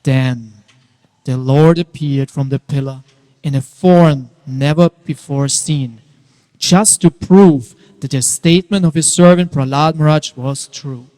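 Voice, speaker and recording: Narrator, male, somewhat high voice, dramatic scripted storytelling, long reverb of a hall. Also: measured pace, warm tone.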